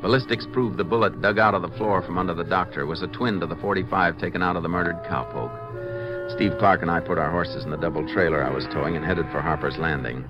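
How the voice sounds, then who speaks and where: An American actor playing a shrewd, dry-humored Texas Ranger investigator in a measured, matter-of-fact way for a 1950s radio western. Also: male voice, low voice, music in the background, lightly acted. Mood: resolute. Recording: muffled, noisy old 1950s radio recording.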